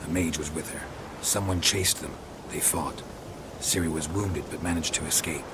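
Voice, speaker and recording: An actor playing a gruff, stoic, middle-aged monster hunter in a video game, terse and deadpan. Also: male voice, rumbling low, moderate pace, background noise. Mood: matter-of-fact. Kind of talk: video game cutscene acting. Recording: loud music and sound effects behind.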